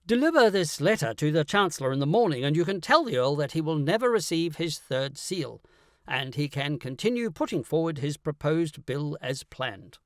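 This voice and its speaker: Guy doing a brilliant gentleman detective, excitable and commanding, voice jumps up and down, audiobook style. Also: on the high side, normal speed, no echo, studio quality. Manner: commanding, theatrical, precise. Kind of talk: audiobook character acting.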